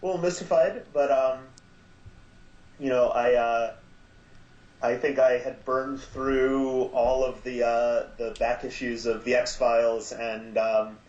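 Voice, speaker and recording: A man guesting on a podcast, stopping and starting with plenty of ums and uhs, then perking up whenever he retells a moment. He speaks at an everyday pitch. The sound is echoey and a little dull. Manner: halting, many fillers, lively when retelling. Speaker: guest, audio drama producer. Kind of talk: casual podcast chat.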